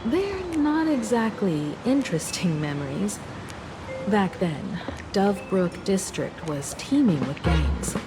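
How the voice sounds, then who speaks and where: An actress voicing a calm, nostalgic adult woman in a video game, speaking in a measured, articulate, reflective way. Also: mid-range voice. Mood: nostalgic, wistful. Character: calm, nostalgic adult woman, former scrappy student martial artist. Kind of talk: video game story dialogue. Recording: game music behind the voice.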